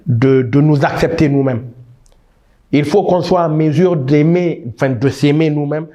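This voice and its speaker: A man's voice: mid-range voice, dark tone. A man in his thirties from Niger, answering interview questions with emphatic, passionate talk, often restarting words. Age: 30s. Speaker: guest.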